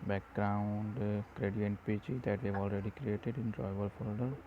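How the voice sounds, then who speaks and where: A man slowly talking through app-building steps in a coding tutorial, dictating each step with short pauses and fillers. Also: on the low side, dull audio, a little hiss or hum. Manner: slow, flat dictation of code steps with "uh" fillers. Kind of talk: screen-recorded coding walkthrough.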